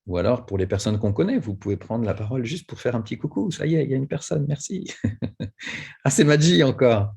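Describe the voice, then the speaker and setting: A man hosting a webinar over a video call, relaxed and easygoing as he invites questions. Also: mid-range voice, a little fast, vocal fry, slightly rough. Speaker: webinar host. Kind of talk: moderating a webinar.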